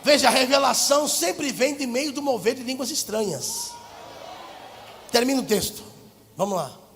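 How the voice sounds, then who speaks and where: A Brazilian Portuguese-speaking male pastor preaching fervently, swinging between shouted lines and quiet asides in a large church. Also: very high voice, moderate pace, gravelly, slight background noise. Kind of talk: preaching a sermon. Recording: hall reverb with faint music.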